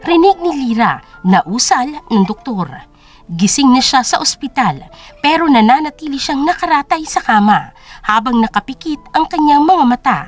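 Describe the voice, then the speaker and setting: High, expressive woman storyteller. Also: normal speed. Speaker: narrator. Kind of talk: dramatic scripted story narration. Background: music.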